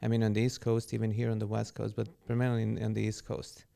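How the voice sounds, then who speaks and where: A man in his forties from Serbia, a podcast guest and real estate agent talking in a relaxed, unhurried way with frequent fillers. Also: fairly low pitch, gravelly. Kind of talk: casual interview conversation.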